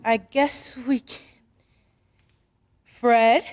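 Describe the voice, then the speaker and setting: A woman's voice: a little high, fairly even intonation, extremely slow, a little mellow. A woman playing a sensible, puzzled mother in an old-time radio drama. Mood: wondering disbelief.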